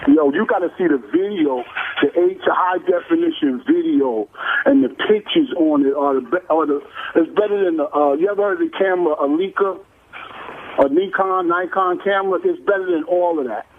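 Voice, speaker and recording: Over a thin phone line, a man with a slightly high voice calls a radio show. He talks casually in street slang, excitable and repetitive. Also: normal speed, slight background noise. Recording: tinny, narrow phone line.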